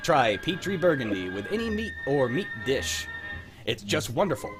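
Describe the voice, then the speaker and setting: A man playing a hearty 1940s radio announcer and pitchman, with a folksy, enthusiastic selling manner. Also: very animated, normal speed, music in the background, close to an everyday voice.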